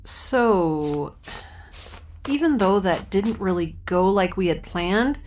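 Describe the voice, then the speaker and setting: Quirky young female fugitive, animated intonation, rambling, playful, sci-fi diary drama, faint ambience. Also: mid-range voice, slow pace, slight background noise. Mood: awkward, hopeful. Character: quirky, chatty young female fugitive narrating into her recorder. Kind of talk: science fiction audio drama, recorded diary.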